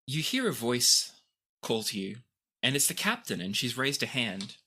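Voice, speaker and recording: A woman's voice: extremely low pitch, very animated, normal speed, bright, forceful tone, slightly rough. A woman working as game master, improvising narration for a tabletop game in a teasing, affectionate way.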